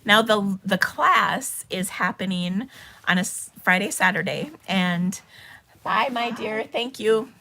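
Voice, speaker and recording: A woman hosting a casual live craft stream, chatty and bubbly, her voice a little hoarse because she is losing it. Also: mid-range voice, slow pace, bright, forceful tone, slight background noise. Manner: chatty and bubbly, with frequent ums and laughs. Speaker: host of a live stamping and cardmaking stream.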